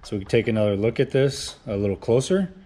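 A man's voice: lowish voice, expressive, quick pace, warm tone. Plain-spoken man in an echoey garage. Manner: plain-spoken, frequent uhs and restarts. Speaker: engine builder explaining cam timing. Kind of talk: casual workshop tutorial. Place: home garage with cars and a car lift.

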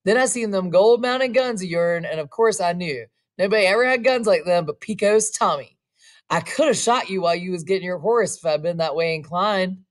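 A woman's voice: lowish voice, a little fast, lightly acted. A woman reading aloud, voicing a nervous, eager young male cowboy with a put-on Southern accent. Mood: earnest.

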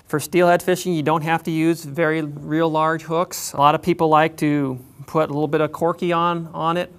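A man explaining how to tie a drift fishing setup, in a plain, unhurried way with frequent pauses. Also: fairly high pitch, fairly bright tone, smooth. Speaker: fishing instructor. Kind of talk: instructional demonstration.

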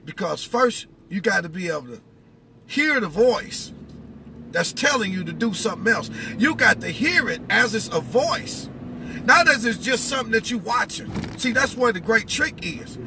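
Middle aged american guy, pretty high voice, teaching like casual preaching, recorded in a noisy car. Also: expressive, normal speed, vocal fry. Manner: emphatic, instructive, checks listener with questions. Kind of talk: informal spiritual talk. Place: inside a vehicle cab. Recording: very noisy vehicle, road noise. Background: car and outdoor noise.

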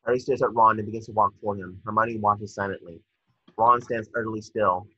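A man's voice: on the low side, steady tone, brisk, sharp tone, husky, no room sound. A man reading stage directions aloud as narrator at a table read, now and then stumbling over words. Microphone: video call. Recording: dull lo-fi video call audio.

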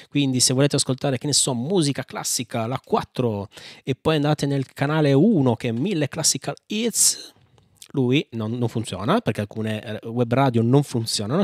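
A man from Italy hosting a casual software walkthrough, chatting informally and thinking aloud as he tries commands. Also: medium pitch. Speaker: tech tutorial host. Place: indoor room at a computer, screencast with small webcam.

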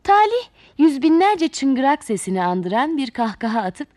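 Children's storyteller, female, higher-pitched and very lively, theatrical delivery straight to young listeners, dry close recording. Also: moderate pace, dry recording. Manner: theatrical, addresses children directly. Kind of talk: expressive fairy-tale narration.

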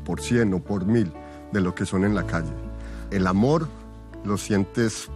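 A man's voice: lowish voice, measured pace, music in the background. A man in his thirties, an inmate, speaking earnestly and emotionally.

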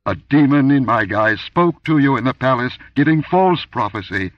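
A man reading aloud, voicing a commanding, inhuman goddess who booms warnings down from on high. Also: animated, moderate pace, no echo, far from the mic, dull audio, natural voice. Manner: booming, thundering pronouncements.

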